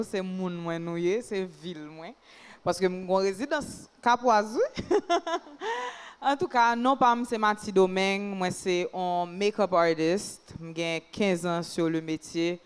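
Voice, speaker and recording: A woman's voice: medium pitch, clean tone. A Haitian woman in her thirties, a makeup artist and entrepreneur introducing herself on a panel in an upbeat way. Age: 30s. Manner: upbeat, laughs between remarks.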